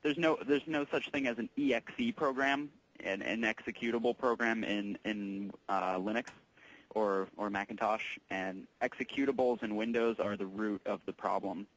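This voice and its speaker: Male store tech, lower register, casual phone explanations, patient, halting, informal delivery. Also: steady tone, a bit bright, poor audio. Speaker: electronics store tech support employee taking a call.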